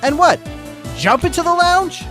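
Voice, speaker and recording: A man voicing a cocky aerobics instructor defendant, taunting in a slow, mocking drawl. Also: extremely high pitch, expressive, fairly bright tone, soft background music, exaggerated voice. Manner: taunting, drawn-out mocking delivery.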